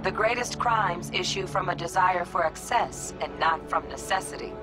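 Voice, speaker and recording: An actress playing a crisp, wry young female hacker talking over a comms line in a game, with music behind. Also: on the low side, normal speed. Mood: businesslike. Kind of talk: game dialogue.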